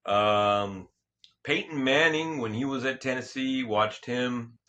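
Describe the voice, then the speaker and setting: A man hosting a casual sports talk show, slightly hoarse, rambling and joking with frequent restarts and self-corrections. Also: medium pitch, takes it slowly, bright, forceful tone. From California. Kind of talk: casual solo sports and politics chat.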